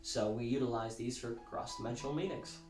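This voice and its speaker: Guy playing a smug showbiz musician doing a gushy infomercial pitch, dramatic, roomy echo w music behind. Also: fairly quick, a little mellow, close to an everyday voice. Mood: breezy salesmanship. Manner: gushing, self-satisfied, dramatic emphasis. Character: showy celebrity musician pitching a sci-fi service, gushing salesman. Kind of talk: theatrical infomercial-style pitch in an audio drama.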